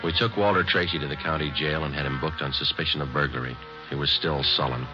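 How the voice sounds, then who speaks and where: Deadpan american radio cop, low pitched, clipped and matter of fact, muffled old recording. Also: male voice, quick pace, over music. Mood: matter-of-fact narration. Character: terse, unflappable male police sergeant detective who narrates. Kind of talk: 1950s American radio police drama acting.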